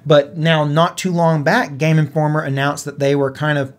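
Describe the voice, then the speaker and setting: A man's voice: a little high, little pitch movement, moderate pace. A man co-hosting a gaming podcast, talking in a casual, conversational style. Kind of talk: casual chat.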